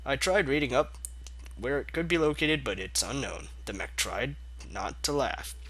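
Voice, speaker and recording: A man's voice: mid-range voice, moderate pace, a bit bright, breathy edge, low-quality recording. A young man reading a fan story aloud, breaking off for sarcastic, mock-exasperated asides. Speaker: fanfic reader. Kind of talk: comedic dramatic reading.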